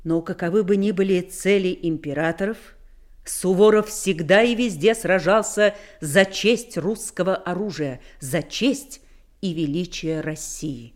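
A woman narrating a historical script solemnly, with emphatic, deliberate, declamatory phrasing. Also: fairly low pitch.

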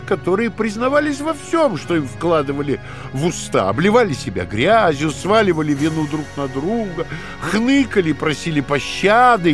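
An actor playing a coldly patient, menacing Party interrogator in a radio play, with a theatrical, deliberate, lecturing delivery that swings from hushed to shouting. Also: male voice, high voice. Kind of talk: dramatic radio play acting.

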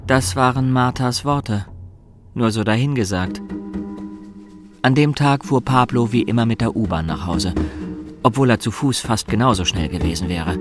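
Music plays clearly behind a male narrator. He reads scripted radio drama narration in a voice of middling pitch. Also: normal speed.

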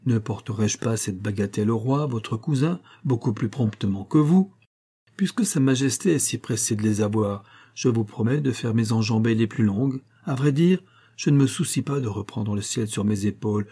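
A man voicing a boastful, sly male giant, reading in a raised, booming voice. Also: moderate pace, mellow, husky.

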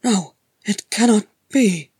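A woman's voice: on the low side, fairly even intonation, measured pace, mellow, slightly rough, dry recording. Woman voicing an emphatic, awestruck male dwarf. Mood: awestruck, respectful. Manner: emphatic, formal archaic phrasing. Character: Gimli, earnest stout male dwarf, awestruck and bewildered.

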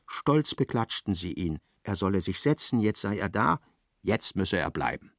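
An actor playing a dry storyteller, giving measured, precise literary narration in a radio play. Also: male voice, fairly low pitch, very animated, a bit bright, no echo, exaggerated voice.

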